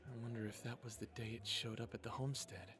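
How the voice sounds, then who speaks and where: A man's voice: mid-range voice, steady tone, quick pace, a bit bright, soft background music, close to an everyday voice. A man playing an earnest, level-headed male werewolf in an audio drama, speaking in a straightforward way. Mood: concerned.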